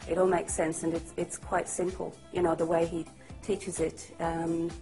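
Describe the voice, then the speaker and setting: Middle-aged woman, deep register, sincere testimonial over soft music. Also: level tone, moderate pace. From Australia. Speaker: customer testimonial. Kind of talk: testimonial to camera. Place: indoors, high-rise room with window view.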